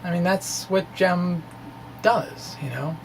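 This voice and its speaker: A man giving an informal explanatory lecture in a halting, conversational way, with asides. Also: high voice, gravelly, a little hiss or hum. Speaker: physics blogger presenting derivations.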